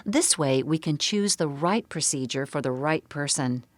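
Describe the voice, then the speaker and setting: A woman giving a scripted, explanatory narration for a medical presentation in a measured way. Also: low-pitched, animated, no room sound. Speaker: seminar narrator.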